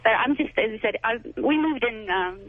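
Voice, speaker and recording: A woman's voice: a little high, lively intonation, normal speed, no echo. A woman from Croatia calling in to a talk show, speaking earnestly and with some indignation. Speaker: caller. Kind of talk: phone-in call to a talk show. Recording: tinny phone line.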